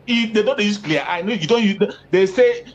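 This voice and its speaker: A man, speaking as an activist in an interview, emphatic, repeating phrases for force. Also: moderate pace. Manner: emphatic, repeats phrases for force, rising pitch when stressing points. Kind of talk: impassioned political interview talk. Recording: dull lo-fi audio.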